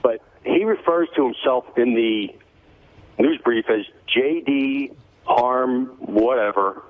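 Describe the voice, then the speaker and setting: Male radio caller, medium pitch, narrow phone sound, conversational complaint. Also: slow. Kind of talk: casual complaint call. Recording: tinny phone line.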